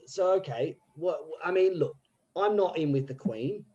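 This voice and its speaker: A man's voice: on the high side, animated, unhurried, warm tone, lo-fi sound. A man doing a put-on British accent as a scheming petty crook in an improv scene, rambling with slang and restarts. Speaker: improv player.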